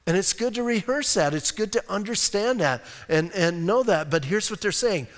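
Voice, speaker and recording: Male pastor, sermon, somewhat high voice, conversational and emphatic, slow deliberate scripture reading, church hall echo. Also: expressive. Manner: conversational, emphatic, with rhetorical questions; reads scripture slowly and deliberately. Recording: reverberant hall sound.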